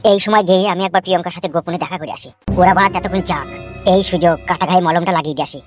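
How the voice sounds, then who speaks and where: Man acting a high-voiced sly village lad. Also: steady tone, very fast, lightly acted. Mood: scheming. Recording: faint music behind the voice.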